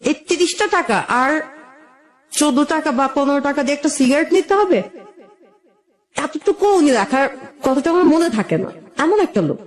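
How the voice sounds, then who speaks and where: A woman's voice: high-pitched, expressive, normal speed, long pauses. A woman with a Bangladeshi accent telling her own life story as an interview guest, conversational, wistful and admiring.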